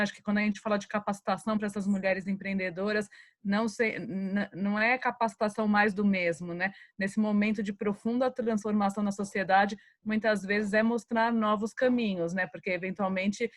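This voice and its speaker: A woman's voice: medium pitch, no echo, studio quality. A woman moderating an online panel, encouraging the speakers and often adding "né" to her sentences. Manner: warmly encouraging, tags "né". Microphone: video call.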